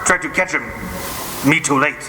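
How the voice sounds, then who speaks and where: A man plays a loyal, terse western sidekick in a radio drama. He speaks clipped broken English in a fairly high voice, with hall echo and background noise. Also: normal speed, pushed and bright. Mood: grave, terse. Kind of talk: old-time radio acting. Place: live stage in a performance hall.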